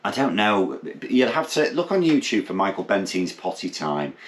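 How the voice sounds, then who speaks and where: A man from England with a very low voice chats casually as podcast co-host. He reminisces and keeps checking in with little tag questions. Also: big pitch swings, normal speed. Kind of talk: casual chat.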